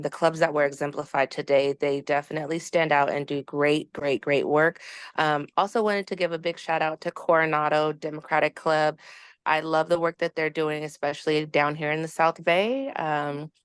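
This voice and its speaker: Low-voiced woman, halting, on a call. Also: fairly even intonation, clean tone, no echo, clean studio sound. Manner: warm, halting with many fillers. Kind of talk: speaking at an online meeting. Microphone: video call.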